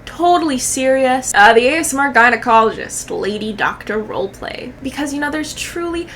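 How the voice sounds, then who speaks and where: A woman's voice: high voice, lively intonation, normal speed, fairly bright tone, a little hiss or hum. A woman giving casual comedic reaction commentary in a sarcastic, exaggerated way, swearing freely. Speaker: YouTube commentary host.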